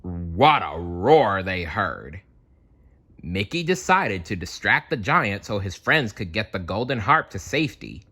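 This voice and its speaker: A man reading a children's story aloud with playful, dramatic, expressive narration. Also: on the low side, unhurried, slightly rough.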